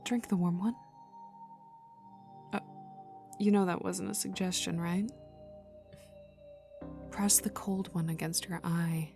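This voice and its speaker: Woman acting cocky young villainess, creaky and intimate. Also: on the low side, steady tone, takes it slowly, pauses often, a little mellow. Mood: wry, caring. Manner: teasing, smirking, casual drawl lapsing into whispers. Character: young female villain enforcer, cocky, teasing, gruffly protective. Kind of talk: intimate ASMR audio roleplay to the listener. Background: ambient music.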